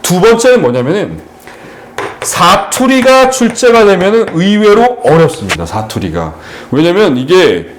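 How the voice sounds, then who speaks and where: A man's voice: high-pitched, sing-song, measured pace, a little hiss or hum. A middle-aged man tutoring exam literature, lecturing at a seminar in an explanatory way with frequent fillers and restarts.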